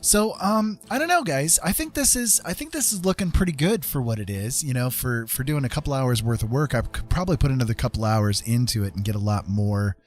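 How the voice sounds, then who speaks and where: A man's voice: medium pitch, expressive, a little fast, hardly any pauses. A middle-aged man chatting casually to his livestream viewers, rambling and hesitant as he goes. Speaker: livestreamer and digital artist. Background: music playing.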